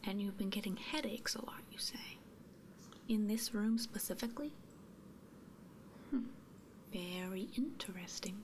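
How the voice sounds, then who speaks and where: A woman performing an ASMR roleplay in a soft, breathy whisper, gently inquisitive and murmuring, close to the microphone. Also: mid-range voice, unhurried, pauses often, a little hiss or hum. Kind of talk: whispered ASMR roleplay as a ghost removal technician.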